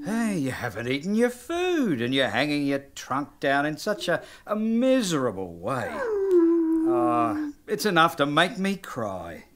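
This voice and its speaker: A woman's voice: lowish voice, wildly swooping pitch, measured pace. A woman voicing a fretful, coaxing male zookeeper in a children's story. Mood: worried. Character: fussy caring male zookeeper.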